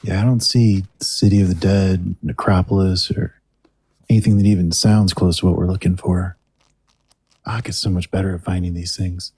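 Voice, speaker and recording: A man's voice: low-pitched, little pitch movement, normal speed, dark tone, slightly rough, dry recording. An actor playing a wry, easygoing young male adventurer in an audio drama, speaking casually. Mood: searching.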